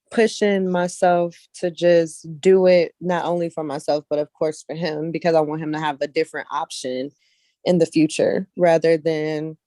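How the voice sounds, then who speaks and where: A woman's voice: on the low side, warm tone, no echo. A woman speaking casually and earnestly as an interview guest, often repeating phrases for emphasis. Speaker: guest casting director, actress and model.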